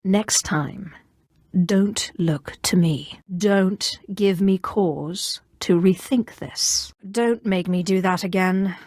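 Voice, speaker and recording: A haughty young Sith sorceress for a video game, speaks with imperious control and dry sarcasm. Her voice sits at a middling female pitch, cold and menacing, recorded close with no room sound. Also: slow pace, a little mellow, no room sound. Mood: cold menace. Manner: imperious, dryly sarcastic, crisply controlled delivery. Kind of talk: scripted video game character dialogue.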